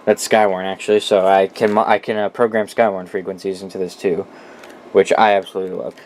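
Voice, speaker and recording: A man's voice: deep voice, little pitch movement, brisk, darkish tone, a little hiss or hum. A man presenting a collection in a casual, unscripted way, informal and opinionated, swearing freely. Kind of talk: casual unscripted product walkthrough.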